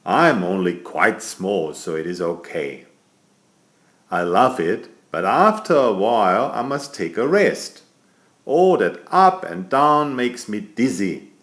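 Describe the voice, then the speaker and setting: A man's voice: on the low side, echoey room. A man voicing a vain, chatty, elegant tomcat who playfully tells a bedtime story to small children. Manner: playful, self-satisfied, addressing small children.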